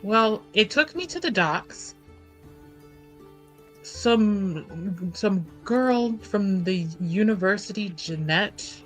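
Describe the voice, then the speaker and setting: A composed, courteous adventurer woman in a tabletop fantasy game, voiced by a female player. She speaks formally and slowly, with a bright, clear edge and measured politeness. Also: medium pitch, expressive, soft background music, rough recording. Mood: matter-of-fact. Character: poised, courteous adventurer woman named Ade, keeping composure.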